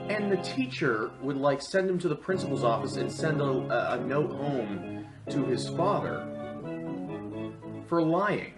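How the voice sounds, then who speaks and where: A man giving an informal, comedic film review with sarcastic, exaggerated commentary. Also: mid-range voice. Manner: sarcastic, exaggerating for comic effect. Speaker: video reviewer and host. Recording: roomy echo under music.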